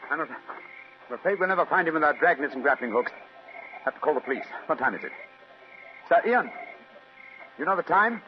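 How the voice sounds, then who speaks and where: An actor playing a commanding, shrewd British gentleman detective, clipped and theatrical, in a vintage radio drama. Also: a little high, lively intonation, fairly quick, long pauses, close to an everyday voice. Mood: pointed. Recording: dull old radio recording, faint music.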